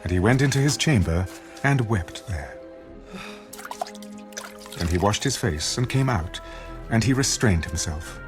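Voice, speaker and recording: Man reading bible story, lowish voice, solemn measured narration, music playing behind. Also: animated. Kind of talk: scripted narration of a dramatized audio Bible.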